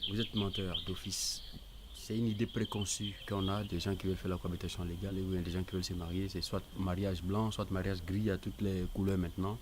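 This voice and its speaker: A man's voice: low voice, little pitch movement, fairly quick. A middle-aged man giving candid, reflective personal testimony in a conversational way, with birdsong and noise around him. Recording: clear outdoor noise with birdsong. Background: birdsong and ambience.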